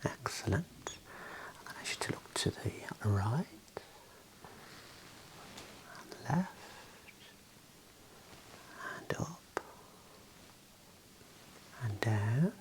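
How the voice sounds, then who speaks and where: Slow whispering ASMR man. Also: medium pitch, rough recording. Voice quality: soft, breathy whisper. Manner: calm, gentle, softly encouraging. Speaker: ASMR host playing an eye examiner. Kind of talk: ASMR roleplay, gentle instructions. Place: small room indoors.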